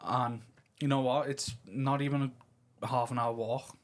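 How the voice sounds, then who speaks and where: A man's voice: medium pitch, fairly even intonation, unhurried. Candid man from Liverpool chatting casually. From Liverpool, England. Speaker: podcast guest. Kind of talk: casual personal chat.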